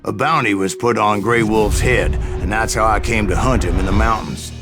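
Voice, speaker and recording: Loud music plays behind a low-pitched actor as a weathered old gunslinger. He recounts his bounty hunting in a measured Western game narration, folksy and wry, like a man with many miles behind him. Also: natural voice. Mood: grave, reflective. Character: older weathered male bounty hunter recounting his Old West exploits.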